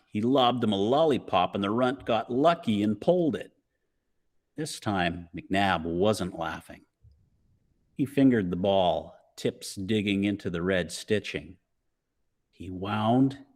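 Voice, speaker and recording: A man reading a children's novel aloud as dramatic narration, in punchy, suspenseful phrases. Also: on the low side. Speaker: storybook reader.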